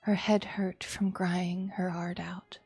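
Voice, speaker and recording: A woman streamer reading a visual novel aloud as expressive, dramatic narration. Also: fairly low pitch, slow, breathy edge, no room sound. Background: music.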